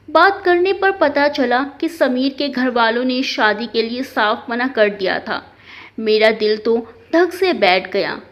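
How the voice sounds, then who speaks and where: A woman reading a scripted first-person family drama as a story narrator. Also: a little high. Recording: dull, lo-fi sound.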